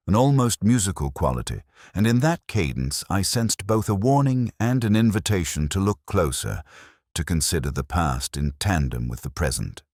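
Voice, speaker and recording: A man reading a first-person detective story in a measured, formal, literary manner, as scripted narration. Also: a bass voice, no room sound, clean studio sound. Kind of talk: scripted audiobook-style mystery narration.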